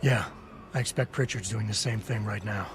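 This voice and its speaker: An actor playing a cool, hard-edged security agent in a video game, terse, dry and controlled. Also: male voice, lowish voice, normal speed, close to an everyday voice. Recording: echoey game audio under loud music.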